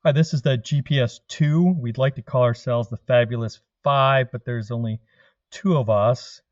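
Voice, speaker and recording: Man, Canadian accent, dairy consultant, self-deprecating humor, mid pitch, dry close mic. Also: normal speed, dry recording, lo-fi sound. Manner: self-deprecating, jokey, relaxed. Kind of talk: casual podcast chat.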